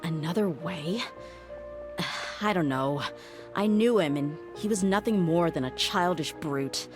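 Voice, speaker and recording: A blunt, low-toned young mercenary. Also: female voice, expressive, unhurried. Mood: frustrated. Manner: blunt, frustrated, guarded. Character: tough young woman mercenary, blunt and proud. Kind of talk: video game voice acting. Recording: game audio with faint music.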